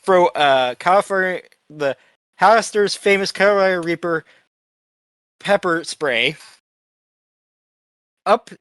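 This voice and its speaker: A man's voice: high-pitched, slow, long pauses, a bit bright, smooth, dry recording. A man playing a tabletop game casually, narrating his character's moves with blunt talk and free swearing.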